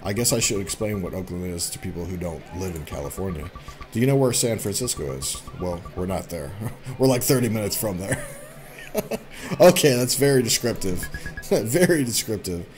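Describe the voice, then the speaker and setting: A man's voice: fairly low pitch, lively intonation, normal speed. A man in his thirties doing let's play commentary, reading game text aloud and chattily reacting as he goes. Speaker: gaming let's play host. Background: game music.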